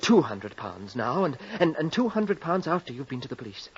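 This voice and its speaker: An actor playing a scheming, nervous young heir with a hesitant manner, in old-time radio drama style. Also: male voice, mid-range voice, big pitch swings, moderate pace, slightly rough. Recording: muffled vintage radio recording.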